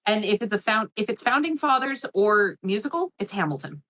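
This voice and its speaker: A woman's voice: medium pitch, big pitch swings, a little fast, bright, forceful tone. A woman plays along as a contestant on a comedy podcast. She trades dry, wry quips with the others. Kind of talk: casual comedy podcast chat.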